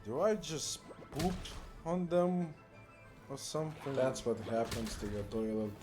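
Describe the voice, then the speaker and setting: A young man commenting on a game in a casual, offhand way. He speaks slowly at a middling pitch, with jokey slang. Game music plays clearly behind him. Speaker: gamer commenting on gameplay.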